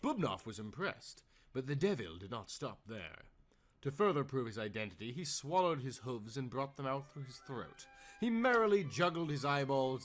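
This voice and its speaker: Male storyteller, theatrical and formal, mid-pitched with extremely varied intonation, light music underneath. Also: fairly bright tone. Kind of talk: dramatic scripted story narration.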